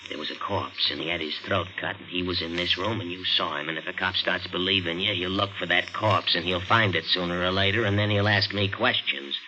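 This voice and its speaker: A man's voice: low voice, steady tone, a little fast, few pauses, muffled recording. An actor playing a menacing, slangy tough-guy cab driver in a 1950s radio thriller. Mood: coldly threatening. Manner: slangy tough-guy talk, softly threatening. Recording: hissy old 1950s radio recording.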